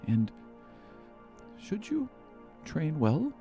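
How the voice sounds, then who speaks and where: A man acts out a gentle fantasy father, heavy with sorrow. He speaks in halting, solemn phrases with wide swings of pitch, over a music bed, in a tabletop roleplaying game. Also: wildly swooping pitch, vocal fry, full character voice. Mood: grave, sad. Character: gentle, sorrowful elven father revealing a painful secret.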